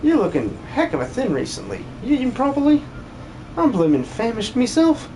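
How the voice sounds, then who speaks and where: A man's voice: very high-pitched, wildly swooping pitch, fairly quick, noisy background, voice pushed up, full character voice. A man voicing a hungry, complaining farmhand with rustic, colloquial speech in a hobby game dub. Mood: hungry grumbling. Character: famished, whining country farmhand sick of vegetables.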